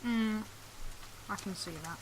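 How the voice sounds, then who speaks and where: A woman chatting in a relaxed, dry way while co-hosting a video game playthrough at home. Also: medium pitch, measured pace, poor audio. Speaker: gaming co-host. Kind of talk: casual let's-play chat.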